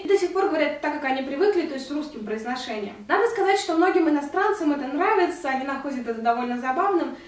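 A woman's voice: sits high, very echoey, far from the mic. A young woman in her twenties from Russia, earnestly explaining her thoughts to the camera in a casual vlog with her hands moving. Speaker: vlogger. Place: indoor room at home.

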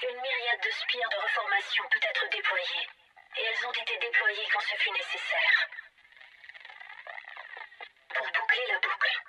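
An actress playing a melancholic, pensive AI guardian in a video game, speaking in a measured, questioning, philosophical way through a synthetic processed effect. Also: female voice, extremely high pitch, gravelly, background noise, exaggerated voice. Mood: awed, ominous. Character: melancholic, pensive female AI guardian of an ancient alien installation. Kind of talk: scripted dramatic game monologue.

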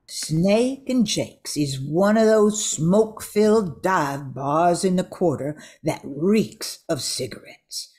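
A woman's voice: on the low side, lively intonation, slow pace, few pauses, darkish tone, slightly rough. An older woman reading scripted narration for an amateur radio drama.

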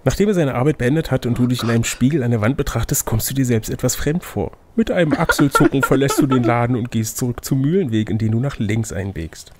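A man's voice: mid-range voice, lively intonation, quick pace, few pauses. Male game master, mid-range voice, reads fantasy adventure narration aloud and slips into playful banter. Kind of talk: reading a fantasy gamebook aloud with casual banter.

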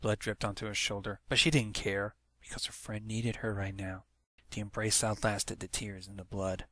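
A man's voice: on the low side, level tone, normal speed, fairly bright tone, no room sound. A man reading a story aloud as narrator, in a dramatic storytelling manner. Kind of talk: scripted story narration, fan fiction read-aloud.

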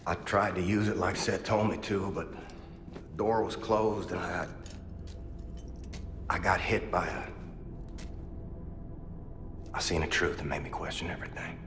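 An actor playing a guilt-ridden middle-aged soldier father in a video game, speaking haltingly and gravely. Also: sits low, over music, natural voice. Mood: anguished, halting. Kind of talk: dramatic video game cutscene acting. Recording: cavernous reverb, loud score underneath.

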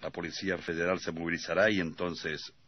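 An actor playing a gruff, defiant robber on the run in a radio play, speaking curtly and impatiently. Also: male voice, low-pitched, fairly even intonation, brisk. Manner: curt, impatient. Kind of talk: radio theatre acting. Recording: dull vintage radio recording.

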